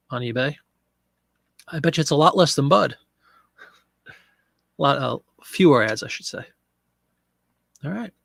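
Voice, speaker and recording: Brief: middle-aged male host with a cold, gravelly and congested, rambling live-stream chat, dry close sound. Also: medium pitch, a bit bright, dry recording. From Pennsylvania. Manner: chatty, rambling, answers viewer chat. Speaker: live-stream eBay seller.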